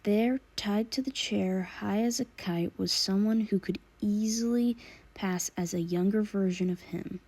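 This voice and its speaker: A woman narrates a story from a script. The voice sits at a middle pitch with a soft, mellow tone, read in audiobook style. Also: measured pace, breathy edge. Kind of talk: scripted narration of fan fiction.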